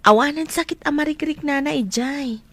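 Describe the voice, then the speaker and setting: Supportive female friend: Ilocano accent, highish pitch, earnest, soothing radio drama delivery. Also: normal speed, dry recording. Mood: concerned, reassuring. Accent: Filipino, Ilocano. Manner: gently reassuring, earnest. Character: caring, sensible younger woman friend.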